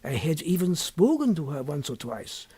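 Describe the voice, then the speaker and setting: A man voicing a sly, impassive, secretive scholar in an audiobook story, with measured, deliberate and formal phrasing. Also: fairly high pitch, sing-song, mellow, slightly rough, dry recording. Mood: teasing, impassive. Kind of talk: audiobook character dialogue.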